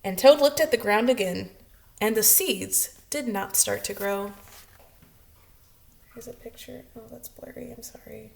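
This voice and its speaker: A woman's voice: a little high, a little slow, vocal fry, long pauses. A woman preschool teacher hosting story time, speaking directly to young children as she greets them and reads.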